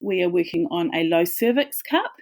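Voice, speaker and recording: A woman's voice: fairly low pitch, animated, normal speed, darkish tone. A woman with a New Zealand accent, a company founder talking as a guest in a casual, friendly interview, with small restarts.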